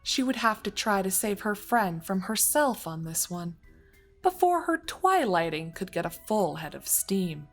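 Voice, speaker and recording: A woman's voice: medium pitch, big pitch swings, measured pace, faint music behind. A woman narrating a scripted story as its narrator, reading in prose between characters' dialogue.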